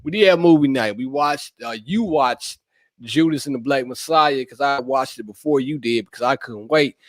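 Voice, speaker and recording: A man's voice: medium pitch, normal speed, no room sound. A young man hosting a podcast with his wife, joking and hyping in playful slangy banter. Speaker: podcast host. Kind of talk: casual chat with spouse.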